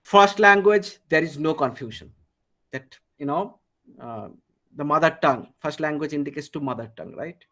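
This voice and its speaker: A man with an Indian English accent leads a live online class over a video call. His voice sits high for a man. He quizzes his students and says key words twice. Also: lively intonation, normal speed, pushed and bright. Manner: asks the class questions, repeats words for emphasis. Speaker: English communication trainer. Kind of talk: live online workshop lecture.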